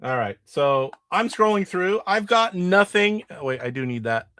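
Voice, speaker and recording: A man's voice: high-pitched, sing-song, normal speed, fairly bright tone, no room sound, clean studio sound. A middle-aged man hosting a casual live stream about retro games, sick and nasally with his voice starting to go. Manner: rambling, demonstrating games live. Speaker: retro gaming show host.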